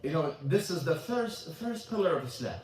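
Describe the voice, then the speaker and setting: High-voiced imam in an echoey hall. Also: male voice, very animated, moderate pace, darkish tone, a little hiss or hum. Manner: emphatic, repeats phrases, quizzes the audience. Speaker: imam teaching a class. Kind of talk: religious lesson, switching between Arabic and English. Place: mosque hall. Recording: echoey hall, mic at a distance.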